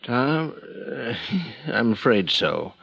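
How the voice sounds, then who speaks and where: In a dull, lo-fi vintage radio drama recording, an old ex-film director turned mission brother. He hesitates, stammers and fumbles for his words. His gravelly voice swings widely up and down. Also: mid-range voice, very animated, takes it slowly, fairly bright tone, exaggerated voice. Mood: hesitant. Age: older. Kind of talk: 1950s radio drama acting.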